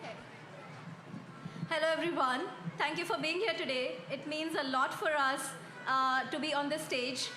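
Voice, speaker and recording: A woman's voice: sits high, steady tone, moderate pace, echoey room, music in the background. A young woman from India, a film director, giving an earnest award acceptance speech on an outdoor stage. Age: young adult. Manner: earnest, heartfelt.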